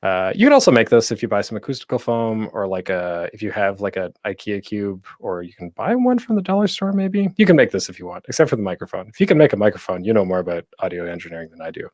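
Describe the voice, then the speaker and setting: A man's voice: on the low side, big pitch swings, fast, few pauses, darkish tone, no room sound. A man presenting a casual, conversational tutorial as a panel host, rambling and self-deprecating with jokey, geeky asides, speaking close to the microphone. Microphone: close microphone with a pop screen.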